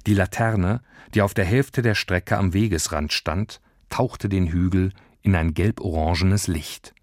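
A man's voice: sits low, a little slow, dry recording, clean studio sound. A man reading scripted narration for a children's story. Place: dry studio.

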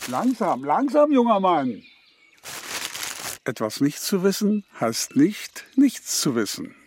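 A man's voice: sits high, sing-song, slow pace, darkish tone, slight background noise, full character voice. A man playing Good Advice come to life, a pompous, touchy, ponderous figure in a children's radio play. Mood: offended, dignified. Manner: ponderous, pedantic, riddling.

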